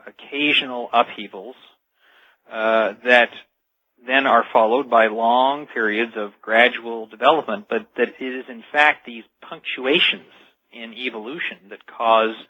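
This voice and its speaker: A man explaining things as a radio interview guest over the telephone, earnest and deliberate, stressing key words. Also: medium pitch, dry recording. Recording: dull, band-limited telephone line.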